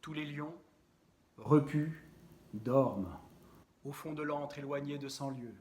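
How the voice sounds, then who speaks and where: A man reciting poetry in a measured, deliberate and expressive way, with frequent pauses. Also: a little high.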